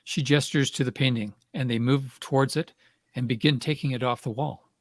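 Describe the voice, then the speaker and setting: A man's voice: medium pitch, fairly even intonation, fairly quick, no echo. A man reading stage directions as scripted narration for a play.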